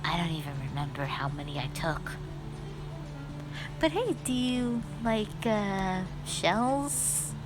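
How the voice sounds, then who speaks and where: A woman voicing a sassy, cute, playful lamia in a roleplay audio, chatting bluntly and haltingly to the listener. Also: medium pitch, animated, rough recording. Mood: friendly, excited. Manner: chatty, halting, blunt teasing with eager bursts. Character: sassy, cute female forest lamia, playful half-snake monster. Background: background music.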